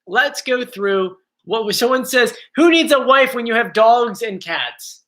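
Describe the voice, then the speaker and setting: A man's voice: very high voice, expressive, a little slow, a bit bright. A man hosting a casual live stream from home, chatty and joking, easily drifting off topic. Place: home, bedroom area. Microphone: computer mic.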